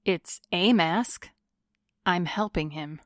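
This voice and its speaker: A woman's voice: fairly low pitch, slow pace, no room sound, studio quality. A woman acting a cool, commanding, glamorous vigilante in a pulp radio drama, stern and self-assured with clipped delivery.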